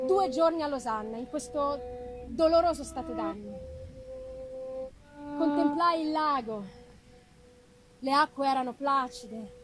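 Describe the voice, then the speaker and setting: A woman giving a dramatic, deliberate and expressive literary reading of a gothic novel. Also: sits high, low-quality recording. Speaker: reader of a literary audiobook.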